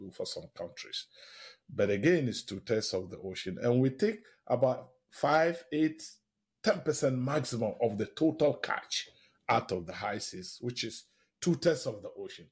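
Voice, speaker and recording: A man's voice: medium pitch, slightly rough. A man speaking as an expert guest in a radio interview, explaining emphatically and enthusiastically, often checking in with short tag questions.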